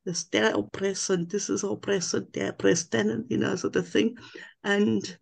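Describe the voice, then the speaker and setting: Older woman, German accent, gravelly voice, rambling halting speech, video call audio. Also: mid-range voice, fairly even intonation. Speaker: webinar participant. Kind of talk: rambling personal account.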